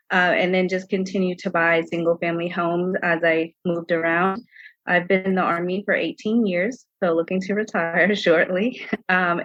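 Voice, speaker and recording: Lowish-voiced American woman, slightly muffled recording. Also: fairly bright tone, no room sound. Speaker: podcast guest. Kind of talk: casual conversational interview answers. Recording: slightly dull, lo-fi audio.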